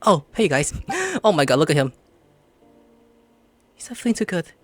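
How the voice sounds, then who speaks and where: A woman giving excitable, theatrical gameplay commentary, swinging between muttering and shrieking. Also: deep voice, moderate pace, no echo. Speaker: gaming video host. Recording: game music clearly behind the voice.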